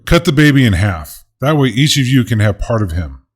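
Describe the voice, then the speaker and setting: A man playing a wise, commanding king who speaks in firm, measured pronouncements. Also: mid-range voice, sing-song.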